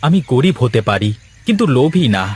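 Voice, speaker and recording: A poor young farmer in a village cartoon, acted by a man. He is deferential and smooth-talking, wily beneath the humility, his pitch often lifting above a middling male register. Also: very animated, a little fast, darkish tone, a little hiss or hum, natural voice. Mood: humble, persuasive. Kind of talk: Bengali cartoon voice acting.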